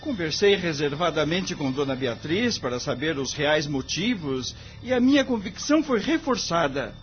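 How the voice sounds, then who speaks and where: An actor playing an earnest, upright young lawyer with a Brazilian accent, polite and sincere, in an audio drama. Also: male voice, fairly high pitch, sing-song, moderate pace. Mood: earnest, firm. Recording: dull lo-fi audio, music underneath.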